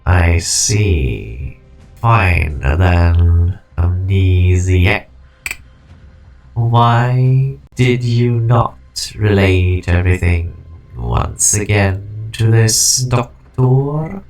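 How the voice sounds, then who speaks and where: A man's voice: low voice, drawn-out words, a little mellow, heavily acted. A man voicing an ancient artificial personality, the weary preserver of a dying civilization, in a grandiose, ponderous game fan dub. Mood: grave, musing. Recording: music behind the voice. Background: music.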